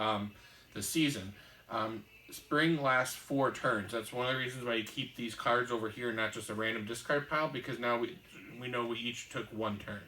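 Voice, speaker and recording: A man's voice: medium pitch, pushed and bright. Man patiently explaining game rules. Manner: patient, talks through rules step by step with many ums. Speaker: board game show host. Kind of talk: explaining board game rules while playing. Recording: roomy room, mic at a distance, faint hiss.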